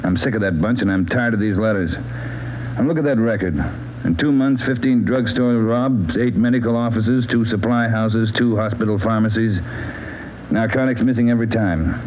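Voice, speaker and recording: An actor with an American accent playing an impatient, exasperated, commanding chief of detectives in a 1940s radio crime drama. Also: male voice, lowish voice, normal speed, lightly acted. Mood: demanding. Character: gruff, impatient male chief of detectives, demanding boss. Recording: muffled 1940s radio recording, faint hiss.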